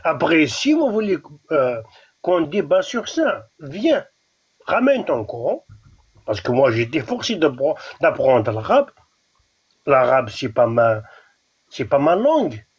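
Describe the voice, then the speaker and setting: A man's voice: on the high side, sing-song, slow pace, slightly rough. Insistent Kabyle-accented man, lo-fi. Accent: Kabyle Algerian. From Algeria. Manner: insistent, repetitive, restarts words. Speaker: debate guest. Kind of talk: heated live debate.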